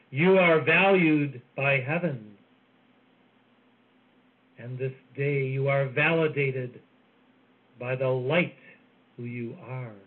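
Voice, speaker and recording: A man delivering a channeled spiritual message in a soft, solemn, measured way with long pauses. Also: medium pitch. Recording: phone-like audio with faint hiss.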